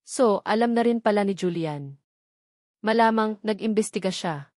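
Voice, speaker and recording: Brief: woman storyteller, earnest dramatic first-person narration, mid-pitched, dry close sound. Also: normal speed, a little mellow, dry recording.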